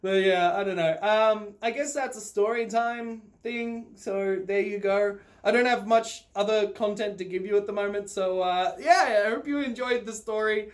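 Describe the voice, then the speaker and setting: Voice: mid-range voice, normal speed, hardly any pauses. A vlogger telling personal stories to viewers in a rambling, informal, sweary way, often ready to laugh. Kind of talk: casual personal storytelling to viewers.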